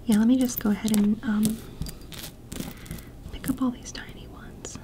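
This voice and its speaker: A woman doing a soft-spoken ASMR roleplay, mostly whispering and talking gently. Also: a little high, fairly even intonation, normal speed, low-quality recording. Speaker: ASMR creator. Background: tapping and clicking sounds.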